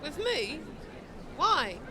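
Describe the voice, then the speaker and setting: A woman's voice: high-pitched, sing-song, very slow, a crowd in the background, heavily acted. A woman playing a fiery, loyal street girl in an audio drama, defensive and intense. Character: fiery, loyal street girl determined to free her mother. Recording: noisy outdoor street ambience.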